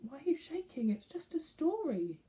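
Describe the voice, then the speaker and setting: A woman with a fairly high voice reads a rhyming picture book to small children. Her intonation swings widely and expressively, and she breaks off to put simple questions to her young listeners. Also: very animated, moderate pace, dark tone, slight background noise. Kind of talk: expressive read-aloud of a rhyming children's story.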